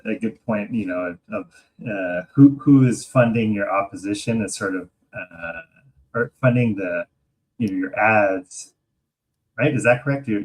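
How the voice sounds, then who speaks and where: Husky, halting American man on a podcast. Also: fairly low pitch, darkish tone, low-quality recording. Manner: hesitant, halting, thinking aloud. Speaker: podcast co-host. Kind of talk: casual political discussion.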